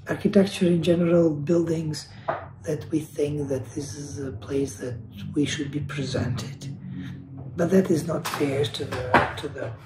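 A man's voice: on the high side, a little mellow, roomy sound, slight background noise, rough recording. A man from Kosovo, speaking as an artist on a panel over a video call, earnest and searching, often restarting and repeating words. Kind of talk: reflective panel talk.

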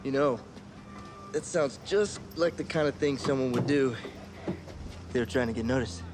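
A man playing a calm, weary former street racer in a game, low-key, measured and cautionary. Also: background noise, lightly acted. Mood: calm, serious. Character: calm, weary male ex-racer working as mechanic.

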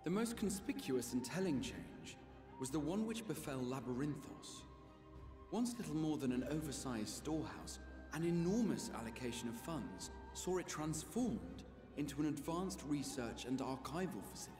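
An earnest, scholarly young cat-man from a fantasy game. His mid-pitched voice swings expressively through formal, deliberate phrases, echoing in a roomy space over music. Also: very animated. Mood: grave, thoughtful. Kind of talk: scripted video game acting. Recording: echoey room, music behind.

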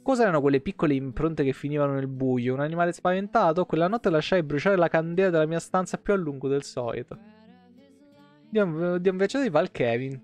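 A man in his thirties with a fairly high voice reads game lore aloud on a livestream. He tells it expressively, breaking off for offhand comments and corrections. Soft guitar music plays faintly behind him. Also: a little fast. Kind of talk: reading game lore aloud with casual asides. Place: indoor room, webcam livestream.

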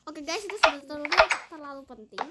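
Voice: sits high, expressive, a little fast. A child giving casual commentary on a game house tour, recorded on a phone. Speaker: gaming vlog host. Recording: very noisy phone recording with clicks.

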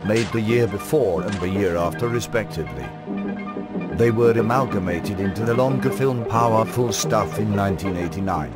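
A man's voice: on the low side, animated, moderate pace, over loud music. A British man narrating a scripted comic review as the host, in a sardonic, dry-humoured manner.

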